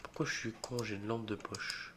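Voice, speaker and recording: A young man with a cold, voice a bit rough, joking and getting spooked as he plays a horror game for his channel. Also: fairly low pitch, steady tone, normal speed, in a whisper. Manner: jokey, easily spooked, mutters reactions and swears. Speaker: gaming video creator. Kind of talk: solo horror game let's play commentary.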